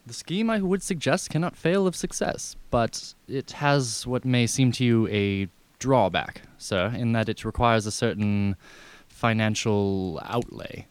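A man's voice: mid-range voice, smooth, dry recording, natural voice. Proper unflappable butler type guy acting, formal n wordy, very polite, dry sound, radio comedy. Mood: discreet. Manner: formal, measured, wordy, deferential.

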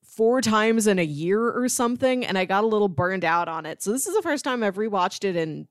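A woman's voice: mid-range voice, expressive, fairly quick, no room sound. Woman podcaster in a dry, close room. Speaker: podcast co-host debating films. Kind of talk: casual film discussion.

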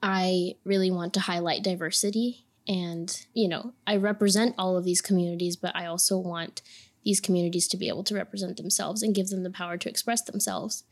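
A woman's voice: lowish voice, fairly even intonation, brisk. A woman from Puerto Rico, a native Spanish speaker, speaking earnestly and passionately as a podcast guest about her advocacy work. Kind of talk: podcast conversation.

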